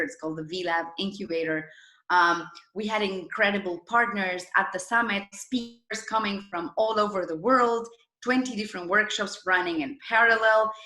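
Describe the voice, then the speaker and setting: A woman with a bright, forward voice talks as an interview guest. She is enthusiastic and emphatic as she explains things conversationally, and the room echoes clearly around her. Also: lowish voice. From Argentina. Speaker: interview guest, startup founder and former journalist. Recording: roomy, echoing sound.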